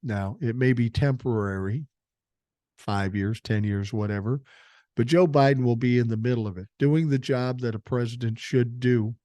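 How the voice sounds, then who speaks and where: A man's voice: mid-range voice, normal speed, dark tone, dry recording. Blunt, profane older man. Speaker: podcast host. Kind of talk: political commentary, reading news aloud.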